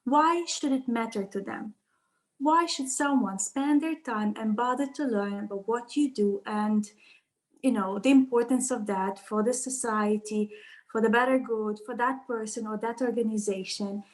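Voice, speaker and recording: A woman's voice: on the high side, normal speed, rough recording. A woman explaining her point as a panelist in a discussion.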